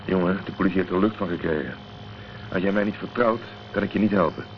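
An actor playing a blunt, protective middle-aged private detective in a Dutch radio drama, terse and direct. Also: male voice, low-pitched, fast talker, natural voice. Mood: probing, firm. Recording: muffled, noisy old radio recording.

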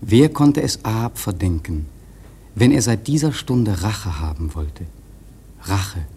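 A man's voice: lowish voice, big pitch swings, slow, mellow, breathy edge. A man giving solemn, brooding, rhetorical literary narration in a radio play.

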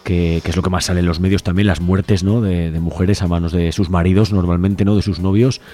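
Dark-voiced man interviewing informally. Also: low voice, little pitch movement, a little fast. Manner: informal, frequent question tags. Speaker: radio host interviewer. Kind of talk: conversational radio interview.

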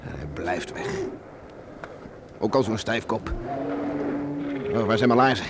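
An actor playing a gruff, stubborn middle-aged island beachcomber and father in a Dutch radio play, muttering to himself and calling out. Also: on the low side, expressive, a little slow. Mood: brooding, muttering. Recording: noisy old radio play with storm ambience.

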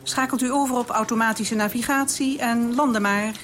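An actress playing a sceptical, businesslike air traffic controller in a radio drama, speaking in a crisp, formal way. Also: female voice, on the high side, fairly even intonation, fairly quick, fairly bright tone, close to an everyday voice. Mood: brisk, official.

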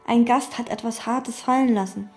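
An actress playing a withdrawn, obsessive hotel chambermaid in a radio play, speaking in calm, terse sentences. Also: female voice, on the high side, normal speed, slightly rough, soft background music. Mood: detached. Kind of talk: radio play, inner monologue and dialogue.

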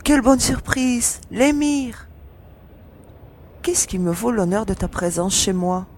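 A woman's voice: on the high side, lively intonation, takes it slowly, a little mellow, slight background noise. A woman playing a confident, friendly young mercenary agent in a science fiction audio drama. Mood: warmly welcoming. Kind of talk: French audio drama acting.

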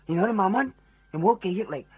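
High, animated, fretful Hong Kong man. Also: fast talker, slightly rough. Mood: spooky warning. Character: fretful, clingy male friend, dramatic and lonely. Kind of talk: Cantonese radio drama acting. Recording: muffled 1990s radio recording, faint music. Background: faint music.

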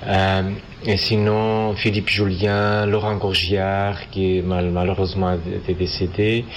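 A man from Portugal speaking with a Portuguese accent as an interview guest, halting and searching for words in a non-native language. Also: low voice, flat delivery. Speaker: interview guest, animation filmmaker. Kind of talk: casual interview answers. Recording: festival interview with background noise.

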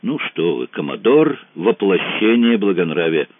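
Brief: a wry, ironic grown man in an audiobook, read by the narrator, low, tinny. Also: sing-song, a little slow, no room sound, exaggerated voice. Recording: dry, narrow phone-like sound.

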